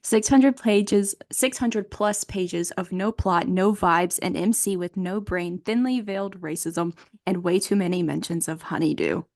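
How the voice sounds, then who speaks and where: A woman's voice: lowish voice, unhurried, a little mellow, dry recording. A woman co-hosting a casual podcast game, muttering clues to herself as she thinks. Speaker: podcast co-host. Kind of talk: casual chat, guessing game.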